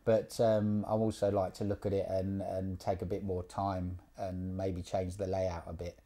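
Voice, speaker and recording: A man with a very low, dark voice talks through placement advice in an easygoing, unhurried way. He repeats words and fills pauses with ums, and his pitch barely moves. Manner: relaxed, repeats words, many fillers. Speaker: job placement mentor. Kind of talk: casual one-on-one advice.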